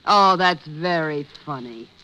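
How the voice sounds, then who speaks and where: Sharp, indignant socialite wife. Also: female voice, deep voice, expressive, slow, heavily acted, poor audio. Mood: nagging impatience. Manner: nagging, indignant. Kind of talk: 1940s-50s radio drama acting. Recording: old radio recording with faint noise.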